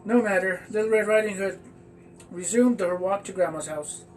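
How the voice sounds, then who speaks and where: A man telling a children's story aloud in a halting, stumbling way. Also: high-pitched. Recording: distant mic with faint background noise.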